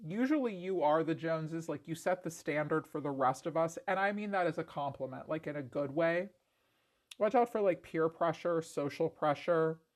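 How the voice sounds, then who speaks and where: A woman with a congested, raspy voice doing a chatty tarot reading, full of "like" fillers. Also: low-pitched. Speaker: tarot reader. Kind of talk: casual tarot reading to camera.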